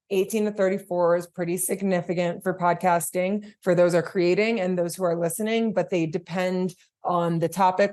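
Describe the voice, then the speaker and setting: A woman's voice: on the low side, darkish tone. A woman giving conversational answers as an interview guest. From New York, United States. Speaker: guest, podcast platform chief executive.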